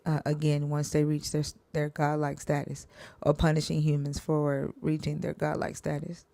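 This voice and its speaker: A woman hosting a live stream Q&A, talking in a halting way with many fillers and restarts. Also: low voice, monotone, creaky, warm tone, dry recording.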